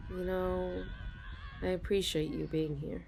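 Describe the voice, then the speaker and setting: A young woman in an ASMR role-play, confiding softly to a listener, giggling often and halting with restarts. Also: on the low side, gravelly, noisy background. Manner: soft, giggly, self-conscious, halting with many restarts.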